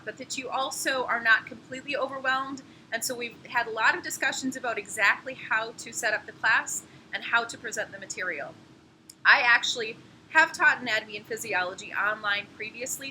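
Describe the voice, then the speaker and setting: Bright-voiced woman professor. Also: a little high, a little hiss or hum, low-quality recording. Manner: friendly, explanatory, laughs now and then. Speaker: anatomy and physiology professor. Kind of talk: course introduction video.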